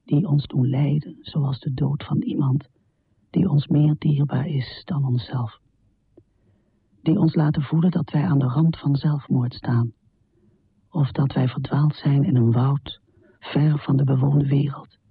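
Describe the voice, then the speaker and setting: An actress playing a troubled, confessional middle-aged woman poet in a radio drama, speaking intimately with a low, husky voice. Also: steady tone, measured pace, no room sound, narrow, tinny audio, heavily acted. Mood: solemn, hushed. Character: troubled, confessional American woman poet, heavy drinker, death-obsessed. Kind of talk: radio drama monologue and poetry recital.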